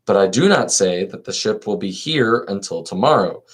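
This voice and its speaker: Male reader as old philosopher in classical dialogue: low voice, serene, resigned, inquisitive, formal. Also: lively intonation, moderate pace, breathy edge. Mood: questioning.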